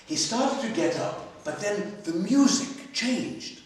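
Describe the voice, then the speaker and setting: A man gives a live, expressive reading of literary fiction, measured and deliberately paused, in the manner of a practised storyteller. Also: high voice, recorded from a distance. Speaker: story reader at a literary festival. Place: library hall, live festival reading. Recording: very echoey hall, picked up from afar.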